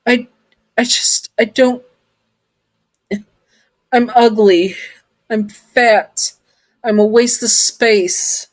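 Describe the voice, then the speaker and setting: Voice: mid-range voice, expressive, moderate pace, hardly any pauses, fairly bright tone, pitched above the performer's own voice, natural voice. A storyteller voicing a young woman close to tears, who speaks in a small, shaky, self-doubting way. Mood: despairing.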